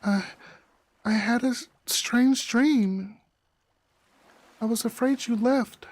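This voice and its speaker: A man's voice: very high-pitched, slow, a little mellow, no echo, voice pushed up, exaggerated voice. A man voicing an anxious, hesitant young woman in a visual novel. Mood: uneasy, hesitant. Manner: hesitant, breaking off.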